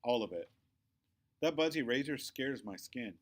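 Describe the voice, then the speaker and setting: A man's voice: mid-range voice, animated, measured pace. Man reading a children's novel aloud. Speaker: audiobook-style reader.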